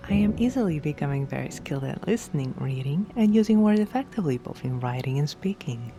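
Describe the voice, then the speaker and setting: A woman reads scripted affirmations for sleep hypnosis in a measured, deliberate way, phrase by phrase. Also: sits low, animated, mellow. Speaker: affirmations narrator. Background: background music.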